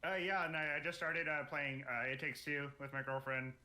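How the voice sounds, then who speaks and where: A man's voice: on the high side, steady tone, brisk, sharp tone. A man talking casually as a podcast guest, telling informal anecdotes with some swearing. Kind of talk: casual chat about video games.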